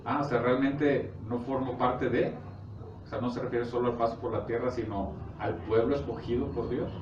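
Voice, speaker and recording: A Mexican man gives an informal sermon, recorded from far off in an echoey room. He explains scripture conversationally and haltingly, often checking in with short questions, over clear background noise. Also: mid-range voice, fairly even intonation, dull audio. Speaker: preacher. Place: echoey indoor congregation room. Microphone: distant microphone.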